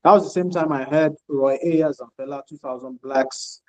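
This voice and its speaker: A man's voice: fairly high pitch, steady tone, moderate pace, low-quality recording. A man co-hosting a podcast, speaking reflectively and personally about music. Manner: reflective, personal, soft-spoken. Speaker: podcast co-host. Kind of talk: casual podcast discussion.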